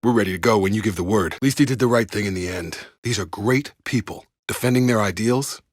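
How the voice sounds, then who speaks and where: A man's voice: lowish voice, fast talker, dry recording, clean studio sound, lightly acted. An actor playing an earnest, loyal male soldier in a video game, speaking plainly and sincerely as someone who protects his people. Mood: heartfelt, resolute. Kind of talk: dramatic game dialogue voice lines. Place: dry studio recording.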